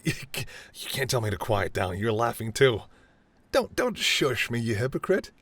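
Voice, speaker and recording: A man's voice: mid-range voice, sing-song, normal speed, fairly bright tone, no room sound. A man playing a charming, teasing mafia boss who is smitten, speaking intimately and playfully to the listener in a roleplay audio drama. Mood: flirty, playful. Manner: smooth-talking, playful, flirtatious, addresses listener as doll. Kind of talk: intimate second-person roleplay audio acting.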